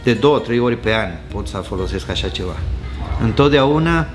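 A man in his sixties from Costa Rica with a Spanish accent, owner of a restaurant, chatting casually in an indoor restaurant bar and telling stories warmly with frequent chuckles. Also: medium pitch, lively intonation, music in the background. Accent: Costa Rican Spanish. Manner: warm storyteller, chuckles often, gestures with hands. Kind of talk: casual interview chat.

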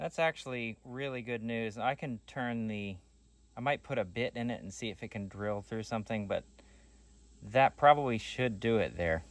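A man's voice: medium pitch, normal speed. A man in his forties hosting a do-it-yourself channel, casually talking through a hands-on tool test, thinking aloud with restarts, recorded close on a phone camera. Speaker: DIY channel host. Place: outdoors on gravel and in a workshop.

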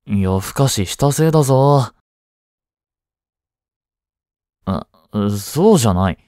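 A young man playing a teasing but tender schoolboy boyfriend in a voice drama, speaking casually and softly with hesitant pauses. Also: mid-range voice, animated, no room sound, studio quality, natural voice. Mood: casual concern. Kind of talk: Japanese boyfriend voice drama, intimate one-sided dialogue.

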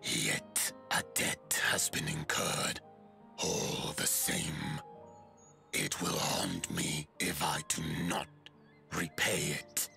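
An actor playing a huge, ancient giant chieftain in a video game, speaking gravely and formally in a rumbling voice. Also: male voice, fairly even intonation, extremely slow, bright, forceful tone, faint music behind, full character voice. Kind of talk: video game cutscene acting.

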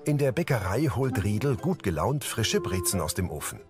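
A man's voice: fairly low pitch, sing-song, normal speed, loud music behind the voice. A man reading scripted present-tense audio description of scenes as a narrator.

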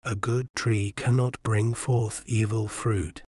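A man reading scripture aloud in a measured, soothing bedtime manner, with long pauses between phrases. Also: on the low side, little pitch movement, darkish tone, no echo, studio quality. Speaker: scripture reader. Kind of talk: slow bedtime Bible reading.

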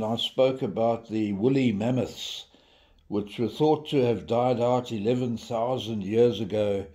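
Older man, mellow, expressive pitch, deliberate devotional storytelling. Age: 70s. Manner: measured, deliberate storyteller with dramatic emphasis. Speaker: devotional preacher. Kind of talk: informal sermon with storytelling and scripture reading.

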